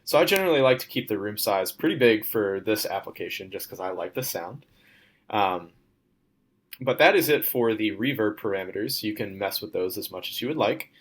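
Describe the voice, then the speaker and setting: A young man, a student, walking through a demo of his software project in an informal, step-by-step way. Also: fairly low pitch, expressive, brisk. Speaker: student presenting a class project.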